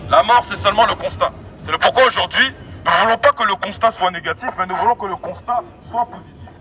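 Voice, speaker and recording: A man's voice: sits high, fast talker. A man street evangelist giving an exhorting, repetitive open-air sermon in emphatic phrases through a megaphone. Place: outdoors in an urban public street. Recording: dull, distant megaphone sound, loud street noise. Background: traffic and city ambience.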